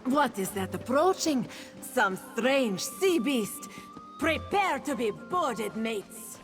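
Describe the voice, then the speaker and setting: An actress playing a swaggering seafarer in a video game. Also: female voice, fairly high pitch, big pitch swings, slow. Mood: alert, swaggering. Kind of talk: video game character acting. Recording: clear music over the voice.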